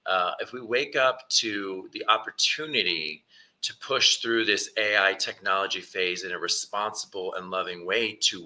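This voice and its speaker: A male podcast host with a fairly low voice and a very bright, forceful edge. He talks informally and with enthusiasm, in a conversational interviewing style. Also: low-quality recording.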